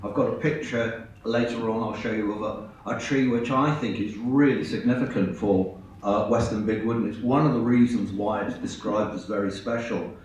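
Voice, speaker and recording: A man with a hoarse voice from a cold, giving an earnest, halting presentation with slides at a public meeting. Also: on the low side, steady tone. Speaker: invited speaker at a public meeting. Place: large echoey meeting hall. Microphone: far from the microphone. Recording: echoey hall, distant, dull sound.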